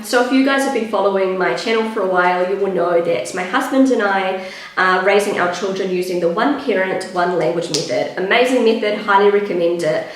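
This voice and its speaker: Chatty New Zealand woman vlogger, thirties, croaky fry, a bit breathless, recorded in a very echoey room. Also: mid-range voice. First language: English. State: a little out of breath. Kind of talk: casual vlog talk to camera.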